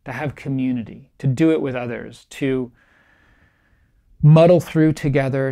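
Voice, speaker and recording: A middle-aged man with a pitch that sits in the middle of the male range and a heavily gravelly texture. He teaches meditation and gives a keynote in a calm, reflective way, gently pressing his points. He speaks to camera in a small, bright room. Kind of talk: recorded keynote talk. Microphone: camera framed at chest height, facing the speaker.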